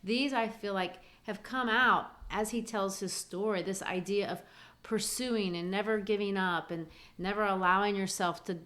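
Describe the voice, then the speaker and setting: A woman's voice: medium pitch, fairly even intonation, moderate pace, a bit bright. A woman, co-hosting a literary podcast and explaining things in an earnest way. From grew up in Brazil. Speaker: podcast co-host.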